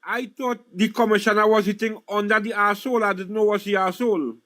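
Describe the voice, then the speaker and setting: Man, high bright voice, measured, polite, stream guest. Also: little pitch movement, dry recording. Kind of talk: casual live stream chat.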